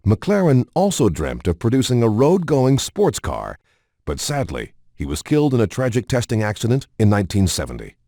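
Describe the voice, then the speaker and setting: Guy doing polished documentary narration, announcer style, mid pitch, really varied intonation, dry studio sound. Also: huge pitch swings, warm tone, no room sound. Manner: measured, polished announcer delivery.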